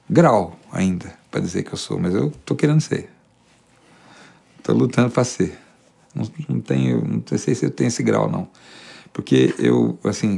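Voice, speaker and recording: Gravelly man musing. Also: on the low side, dark tone. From interior of São Paulo state, Brazil. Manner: thoughtful, many restarts and repeated words. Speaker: singer-songwriter, interviewee. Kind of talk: reflective conversational monologue.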